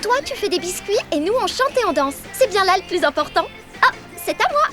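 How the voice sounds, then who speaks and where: Vain teen pop-star girl in a cartoon, very high, bouncy voice. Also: animated, brisk, fairly bright tone, faint music behind. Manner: self-important, showy. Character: vain, self-admiring teenage girl pop singer. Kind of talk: French cartoon dubbing.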